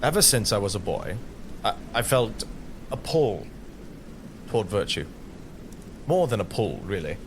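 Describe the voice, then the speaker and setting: An actor playing an earnest, virtuous knight with a tormented sense of honor in an audio drama. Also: male voice, lowish voice, measured pace, long pauses, noisy background. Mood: solemn, pained. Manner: earnest, solemn, expressive.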